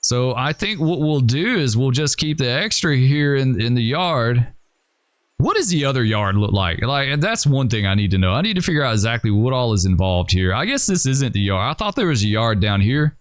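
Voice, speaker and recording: Guy rambling through train game gameplay, kinda hoarse, middle voice, dry close mic. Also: medium pitch, sing-song, normal speed, dry recording. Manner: thinking aloud, rambling and hesitant. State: losing his voice. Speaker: gaming channel host. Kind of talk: casual gameplay commentary.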